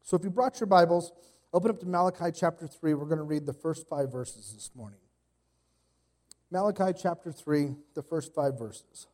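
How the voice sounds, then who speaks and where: Pastor with a cold, dark mellow voice. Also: male voice, normal speed. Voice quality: extra deep voice today. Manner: casual, rambling delivery with many fillers and restarts. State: sick with a cold, slight cough. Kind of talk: sermon. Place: church.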